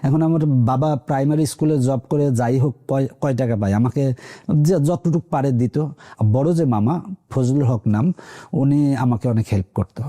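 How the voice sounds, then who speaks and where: A man's voice: mid-range voice, moderate pace, dark tone. A man in his thirties from rural Bangladesh, with a Bangladeshi accent, recounting his life story conversationally as an interview guest. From rural Bangladesh, near the Indian border. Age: 30s.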